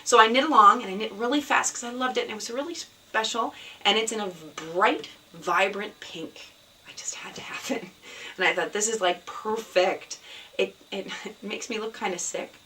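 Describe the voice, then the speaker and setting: Podcast host, woman, hoarse and scratchy from a cold, bright tone, set back from a camera mic with faint room noise. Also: medium pitch, normal speed. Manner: rambling, many ums, soft and sad in places. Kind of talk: casual video podcast chat. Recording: somewhat distant mic, faint background noise.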